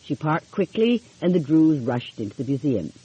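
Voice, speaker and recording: Female audiobook narrator, expressive, husky, very lively pitch, dull lo-fi recording. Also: moderate pace. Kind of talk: scripted story narration.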